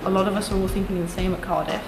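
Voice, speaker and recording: A young woman making an earnest, confident campaign pitch as a student election candidate, restating her points in lists. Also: steady tone, rapid-fire. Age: young adult. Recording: echoey room with music behind.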